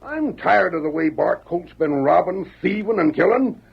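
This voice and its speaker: Sheriff, radio Western: commanding, stubborn, folksy, very husky and animated, on a dull old recording. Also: male voice, sits high, normal speed, heavily acted. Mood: determined. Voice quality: gravelly, husky. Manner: folksy, blunt Western drawl.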